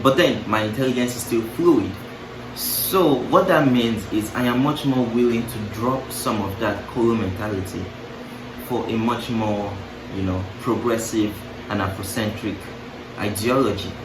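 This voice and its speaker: Young male vlogger, lower voice, loose, musing delivery with joking asides; distant mic, room echo, audible noise. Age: young adult. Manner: musing, self-interrupting, playful asides with laughs. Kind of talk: casual reflective monologue to camera. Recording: roomy, distant mic, clear background noise.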